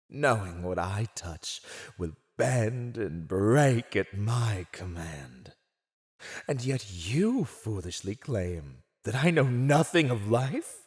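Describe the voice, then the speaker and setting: An actor playing a silver-tongued, condescending fantasy villain who teases and mocks a prisoner in a scripted ASMR roleplay monologue. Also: male voice, lowish voice. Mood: smug, menacing. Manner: theatrical, condescending, teasing.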